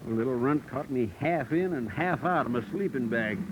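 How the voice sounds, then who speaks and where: An actor playing a tough, folksy cowboy animal trapper with a Western American drawl in a 1940s radio drama. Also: male voice, mid-range voice, expressive, normal speed, close to an everyday voice. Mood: gruff, folksy. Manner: folksy, rustic slang, plainspoken. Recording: noisy old 1940s radio recording.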